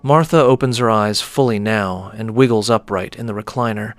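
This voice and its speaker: A man narrating a scripted audio story in a measured, descriptive manner. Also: on the low side, soft background music.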